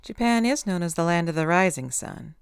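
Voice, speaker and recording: A woman's voice: fairly low pitch, animated, fairly quick, vocal fry, smooth, no room sound. A woman playing a dry, formal Grim Reaper who is easily ruffled, in a horror-comedy audio drama. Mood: solemn, aloof.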